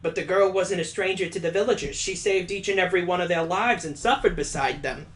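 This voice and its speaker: A man's voice: sits high, fairly quick, close to an everyday voice. A man reading as an earnest young boy hero. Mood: sorrowful.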